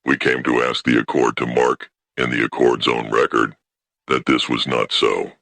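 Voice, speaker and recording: A man voicing a gravelly alien elder. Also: rumbling low, little pitch movement, pushed and bright, no room sound, pitched below the performer's own voice, heavily acted. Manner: grave, plain, measured statements. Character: dignified grieving alien trade-clade elder.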